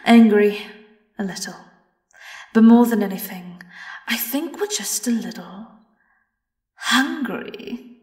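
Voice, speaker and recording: Woman acting slow, gloating British vampire, roomy sound. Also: fairly high pitch, expressive, darkish tone. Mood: menacing, mocking. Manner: taunting, theatrical, slipping into breathy whispers. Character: vengeful female vampire singer, silky, mocking, gloating predator. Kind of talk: theatrical horror audio roleplay monologue. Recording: roomy, distant, music behind.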